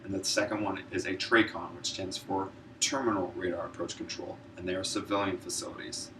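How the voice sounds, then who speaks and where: A man's voice: low voice, steady tone, fairly quick. A man delivering a prepared informative speech in an earnest presenter's manner, stumbling now and then over his words. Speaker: student public speaker, military air traffic controller. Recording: distant mic, noisy room ambience.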